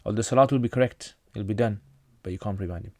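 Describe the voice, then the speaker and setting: A man's voice: fairly low pitch, very fast, mellow, dry recording, studio quality. A man from North Pakistan, a native Pashto speaker, hosting a casual livestream Q&A. He reads out viewer questions and answers them plainly, often restarting his sentences.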